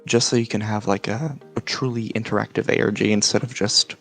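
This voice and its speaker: A man talking as a guest in a casual explanatory chat, halting as he searches for his words. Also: fairly low pitch, fairly even intonation, vocal fry, fairly bright tone, soft background music. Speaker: guest, series creator.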